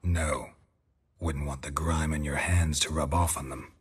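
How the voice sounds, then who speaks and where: A weary, menacing monster hunter from a fantasy game. His voice is extremely low and heavily rasped, and he speaks in terse, dry phrases. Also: fairly even intonation, unhurried, full character voice. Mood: cold menace. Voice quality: gravelly, husky. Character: gruff stoic male monster-hunting mercenary, weary and menacing. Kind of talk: video game acting.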